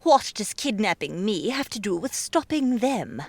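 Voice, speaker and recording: Bold, swooping imperious princess. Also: female voice, medium pitch, sing-song, measured pace, no room sound. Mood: challenging. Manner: brash, theatrical, bossy. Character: bold, imperious princess gamer, flowery and impatient. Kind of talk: dramatized audiobook acting.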